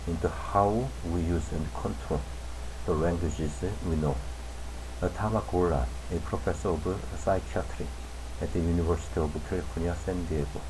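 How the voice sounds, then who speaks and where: A man whose first language is Korean reading an English news article aloud slowly and carefully, sometimes restarting a tricky word. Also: sits low, fairly even intonation, background noise.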